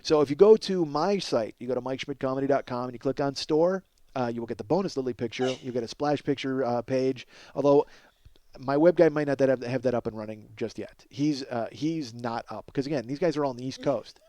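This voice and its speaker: A man's voice: mid-range voice, brisk, mellow, dry recording. A middle-aged man talks as a comedy podcast host with a mid-range voice. He rambles casually through plugs and asides, exclaiming, cursing and putting himself down as he goes. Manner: profane, self-deprecating, exclamatory.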